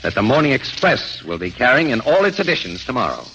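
An actor playing a shrewd, wisecracking crime photographer in a 1940s radio drama, with a wry, confident manner. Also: male voice, mid-range voice, sing-song, fast, pushed and bright, muffled recording. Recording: faintly noisy old 1940s radio recording.

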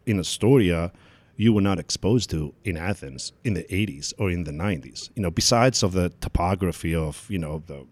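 A man in his forties from Greece with a Greek accent, a photographer talking in a casual interview, halting and often trailing off. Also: low-pitched, lively intonation, dry recording. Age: 40s. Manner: halting, restarts sentences, trails off quietly. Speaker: guest photographer.

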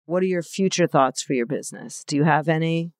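A chatty woman host with a somewhat low voice jokes openly about herself while steering an interview. The sound is dry and close, with no room echo. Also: brisk, darkish tone. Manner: joking, open, chatty. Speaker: podcast host. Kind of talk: casual interview chat.

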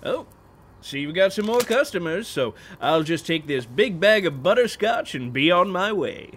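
An actor playing an older, folksy and evasive small-town mayor in an audio drama, with an avuncular, hesitant manner. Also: male voice, sits high, very animated, normal speed, a bit bright, a little hiss or hum. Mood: brisk, friendly.

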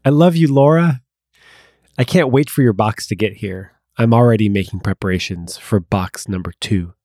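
A man's voice: on the low side, normal speed, mellow, no echo, studio quality. A man hosting a show, reading love letters aloud and introducing listener submissions. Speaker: podcast host.

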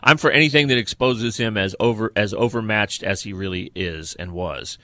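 A man with a lowish, bright and forceful voice talks sports as a podcast co-host. He is blunt and opinionated, speaking conversationally. The sound is dry and close. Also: normal speed, no echo.

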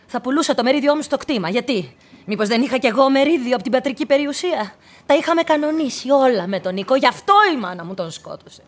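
An actress in a radio play playing a troubled, obstinate daughter, insistent and emphatic. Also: female voice, sits high, expressive. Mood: defiant. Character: obstinate, troubled daughter accusing her mother. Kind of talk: radio drama acting.

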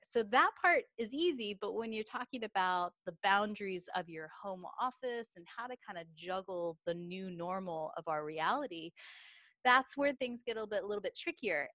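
A woman's voice: mid-range voice, fairly bright tone, no room sound. A woman in her forties with a Texan accent, a business coach guesting on an interview and chatting upbeat with folksy phrases. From Texas. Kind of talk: casual interview chat.

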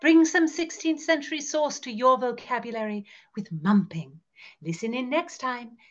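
A woman playing a gossipy, easily indignant Tudor gentlewoman, declaiming in archaic phrases for a scripted educational show. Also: sits high, moderate pace, dull audio, lightly acted. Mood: grandly cheerful. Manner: archaic, declamatory, emphatic storytelling.

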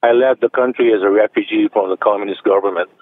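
A man's voice: on the low side, fairly even intonation, fast talker. A man in his late seventies from China, calling in to a radio show and chatting with many fillers and restarts. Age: 70s. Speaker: caller. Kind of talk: phone-in chat. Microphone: telephone. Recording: tinny phone line.

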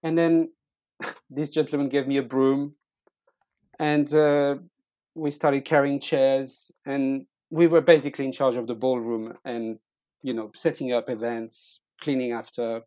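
A middle-aged man with a French accent telling personal stories in casual conversation, haltingly, often repeating words as he searches for them. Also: medium pitch, flat delivery, a little mellow, dry recording. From eastern France. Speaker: interview guest.

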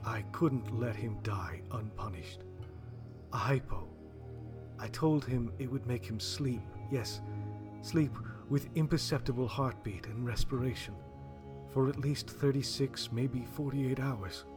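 A man voicing a soft-spoken, vengeful doctor in a dramatic audio story, confessing in a halting, hushed way. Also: mid-range voice, music in the background, natural voice. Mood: coldly vengeful. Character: vengeful, remorseless small-town doctor, quietly confessing.

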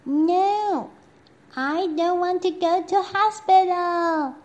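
A woman voicing a stubborn little girl princess who wails and refuses in a high voice. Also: lively intonation, takes it slowly, a little mellow, heavily acted. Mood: refusing.

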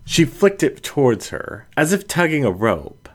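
A man's voice: a little high, very animated, unhurried. A man reading a scripted first-person story aloud as an audiobook narrator.

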